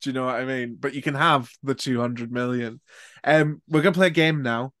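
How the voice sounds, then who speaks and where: A man's voice: mid-range voice, fairly even intonation, brisk, a bit bright, no room sound. Sweary chatty man, dry sound. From Newcastle. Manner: chatty, sweary, reads game stories aloud. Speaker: podcast host. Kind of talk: casual podcast chat.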